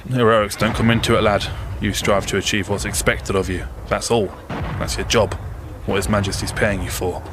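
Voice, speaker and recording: A man acts out a plain-spoken, weary sergeant from the Great War trenches in a radio play. His voice sits low, with a London accent and blunt soldierly turns of phrase. Clear outdoor noise surrounds him. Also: level tone, moderate pace, hardly any pauses, close to an everyday voice. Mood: blunt, cynical. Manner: blunt, world-weary, colloquial. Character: gruff, plain-spoken British army sergeant of World War One. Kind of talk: audio drama acting.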